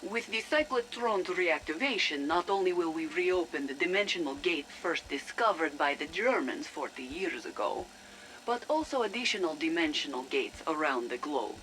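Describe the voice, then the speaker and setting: Proud Soviet woman research chief, formal, deliberate, ambitious, video game log with light background noise. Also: sits low, expressive, a bit bright. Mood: resolute. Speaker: game character, research lead. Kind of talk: formal acted audio log. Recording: noisy radio-like audio log.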